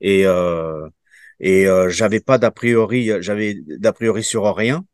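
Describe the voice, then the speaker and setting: A man in his fifties, from Portugal, giving a testimonial in an interview and answering haltingly with many fillers and restarts. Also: lowish voice, fairly even intonation, smooth, no echo, clean studio sound. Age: 50s. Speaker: program participant giving a testimonial.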